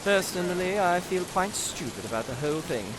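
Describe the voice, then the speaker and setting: Male actor playing a young doctor, earnest, sheepish, animated, noisy rain-like background, audio drama. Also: sits high, brisk. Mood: rueful, polite. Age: young adult. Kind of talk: audio theatre acting.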